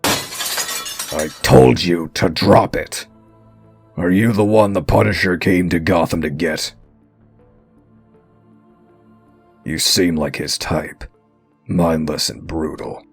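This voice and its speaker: A stern, menacing masked crimefighter for a comic dub. His voice is extremely deep, with a heavy gravelly creak, and he gives terse commands like threats. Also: slow pace, soft background music, heavily acted. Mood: cold command. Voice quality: gravelly, husky growl. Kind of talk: comic book dub acting.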